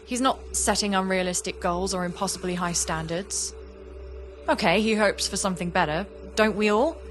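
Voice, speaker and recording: A woman's voice: mid-range voice, normal speed, a little hiss or hum, close to an everyday voice. A woman playing a reflective young artist in an audio play, speaking in an earnest, confessional way. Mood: reflective, candid. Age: young adult. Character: young woman artist, reflective, warm, wistful about an old friend. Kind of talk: audio play monologue and dialogue.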